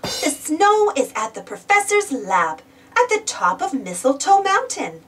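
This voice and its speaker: A woman narrating a children's read-aloud storybook in an animated, expressive manner. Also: sits high, a little slow, distant mic.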